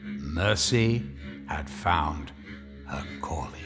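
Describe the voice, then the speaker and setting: Animated male horror narrator. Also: rumbling low, extremely slow, over music. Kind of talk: dramatic scripted horror story narration.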